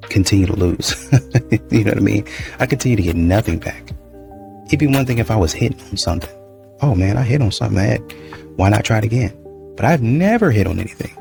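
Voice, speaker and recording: A man's voice: on the low side, gravelly. A man giving casual, off-the-cuff commentary in a conversational way. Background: music.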